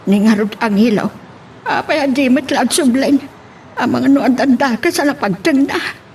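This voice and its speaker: High, wailing Filipino mother acted by a woman. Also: normal speed, darkish tone, a little hiss or hum. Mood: anguished. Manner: wailing, exclamatory. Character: distraught, wailing mother fearing for her child. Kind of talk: radio drama acting in Ilocano.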